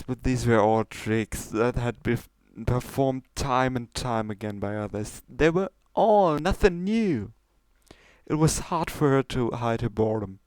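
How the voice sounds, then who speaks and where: Slow-paced man reading fan fiction aloud. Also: mid-range voice, a little mellow, no echo. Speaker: amateur fan fiction reader. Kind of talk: casual introduction and story narration.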